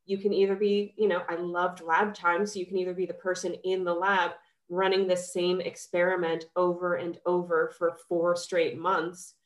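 A woman's voice: lowish voice, flat delivery, normal speed, few pauses, echoey room, poor audio. A young woman with a cold and a slightly nasal voice, chatting enthusiastically as a guest on a podcast, with lots of fillers. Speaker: skincare founder, guest. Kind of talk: casual podcast interview.

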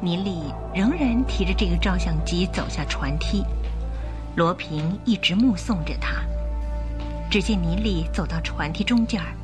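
A woman narrating a radio drama as scripted storytelling, with expressive, dramatic delivery. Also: on the low side, unhurried, music in the background.

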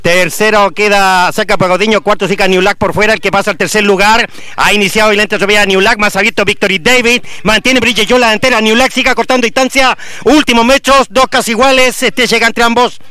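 A man with a Chilean accent calling horse races live, reeling off positions quickly and building to excited finishes. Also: high voice, clear, resonant tone. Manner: rapid, rising to excited calls at the finish. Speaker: horse racing announcer. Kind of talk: live race commentary.

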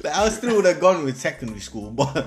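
A young man from South Africa, guest on a chat podcast, giving calm, dry, understated replies. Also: on the high side, fast talker, soft background music.

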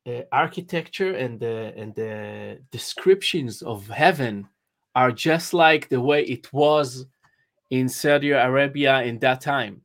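A man's voice: mid-range voice, slow. A man speaking as a panelist, tentative and hesitant, self-deprecating about his points. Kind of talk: casual online panel discussion.

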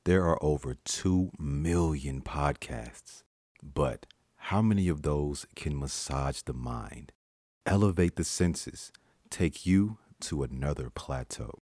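A man with an extremely deep, low voice delivers a smooth promo intro at an unhurried pace. The recording is dry and close, with no room sound. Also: darkish tone, no echo. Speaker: podcast intro announcer. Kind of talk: scripted promo voice-over.

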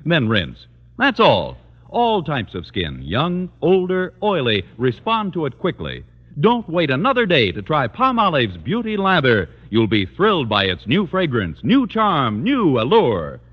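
A man's voice: a little high, wildly swooping pitch, a little slow, slightly rough. A man delivering a scripted 1950s radio advertisement as an emphatic, persuasive commercial announcer. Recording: dull vintage radio recording, long reverb.

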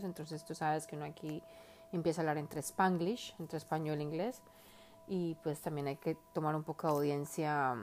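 Warm low-voiced woman hosting a podcast. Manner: earnest and heartfelt, rambling with many fillers. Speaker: podcast host. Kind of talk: casual personal monologue.

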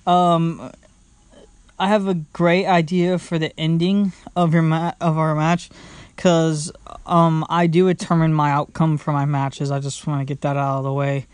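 A man's voice: fairly high pitch, level tone, a little slow, clean tone, no echo. A young man hosting his own casual podcast from home, rambling with lots of fillers, restarts and "blah, blah, blah". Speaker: podcast host. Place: at home, sitting indoors.

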